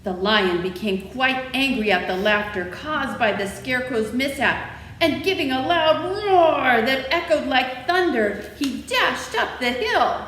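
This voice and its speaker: A woman reading a children's story aloud with expressive, animated storytelling. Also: high-pitched, unhurried. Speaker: library storytime reader. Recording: echoey room with faint noise.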